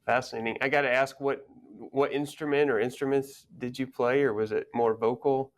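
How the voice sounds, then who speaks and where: A man hosts a relaxed interview at a middle pitch. He asks short, easygoing questions and prompts his guest along. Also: normal speed, over loud music. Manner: brief, encouraging prompts and questions. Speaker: podcast host. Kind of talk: casual interview.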